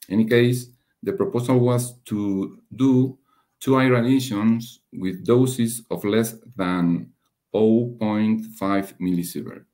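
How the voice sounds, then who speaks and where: Slow, halting low-voiced male presenter. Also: dark tone. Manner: halting, hesitant, frequent long hesitations and rephrasing. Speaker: webinar presenter, radiation dosimetry researcher. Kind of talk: technical slide presentation.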